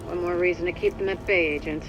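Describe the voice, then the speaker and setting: A woman playing a steady agent handler in a video game, guiding an operative in a businesslike, dryly encouraging way. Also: fairly low pitch, fast talker, close to an everyday voice. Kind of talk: scripted game dialogue, radio guidance. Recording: noisy game ambience behind.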